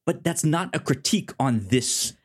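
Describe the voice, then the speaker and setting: A man co-hosting a casual review podcast, halting and stammering as he repeats words while he talks. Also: a little high, animated, husky. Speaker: podcast co-host reviewing a TV show.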